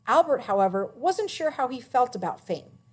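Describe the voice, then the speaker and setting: A woman reading a children's biography aloud as a narrator, with expressive, emphatic phrasing. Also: on the high side, normal speed.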